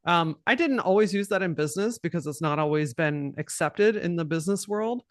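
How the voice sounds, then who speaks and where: A woman with a low voice talking bluntly and directly as a podcast guest, cussing freely and laughing often. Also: lively intonation, brisk, dry recording, clean studio sound. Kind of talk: casual podcast conversation.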